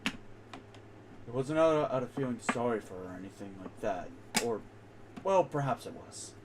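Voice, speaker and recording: A man's voice: medium pitch, animated, moderate pace, background noise, voice pushed down, lightly acted. A man voicing a reserved, earnest, loyal seaman while reading video game dialogue aloud in character. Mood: subdued, defensive.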